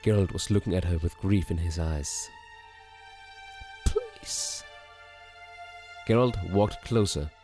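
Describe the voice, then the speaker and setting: Low-pitched male story narrator. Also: warm tone. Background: background music.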